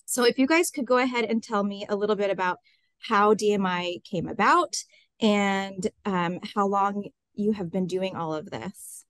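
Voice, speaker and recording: A woman's voice: mid-range voice, moderate pace, no room sound. Dry-sounding woman facilitating a webinar. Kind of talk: conversational interviewing.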